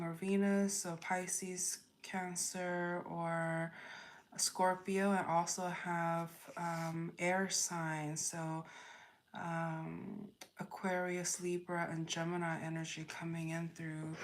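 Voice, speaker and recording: A woman hosting a live tarot reading, speaking off the cuff in a halting way, thinking aloud and repeating phrases as she chats with viewers. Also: on the low side. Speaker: tarot reader, livestream host. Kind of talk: spontaneous spiritual reading, chatting with live viewers.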